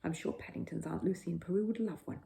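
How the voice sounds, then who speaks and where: A woman's voice: lowish voice, fast, creaky, dark tone. A woman reading a kind, polite, anxious mother in a children's storybook, with a caring manner. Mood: kind, pleased.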